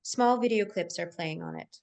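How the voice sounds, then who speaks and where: A woman's voice: medium pitch, animated, moderate pace, darkish tone. A woman hosting a table read and narrating its screenplay directions. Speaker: stage-direction narrator and host. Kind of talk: scripted narration.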